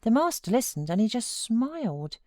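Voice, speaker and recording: A woman's voice: fairly high pitch, sing-song, moderate pace, mellow, no echo, studio quality, pitched above the performer's own voice. A woman voicing a gossipy, good-natured country servant in a novel, exclaiming in rural dialect. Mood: gossiping.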